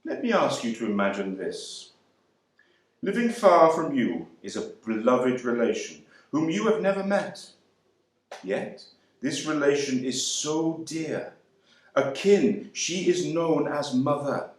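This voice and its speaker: An actor playing a proud, wry young man serving in the air force, speaking with measured delivery and deliberate pauses. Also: fairly high pitch, very animated. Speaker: actor reading a monologue. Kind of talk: dramatic monologue reading. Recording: very echoey room.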